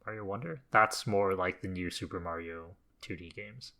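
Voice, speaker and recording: A man co-hosting a gaming podcast in relaxed, conversational back-and-forth. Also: sits low, normal speed, vocal fry. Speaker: podcast co-host. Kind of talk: casual gaming podcast chat.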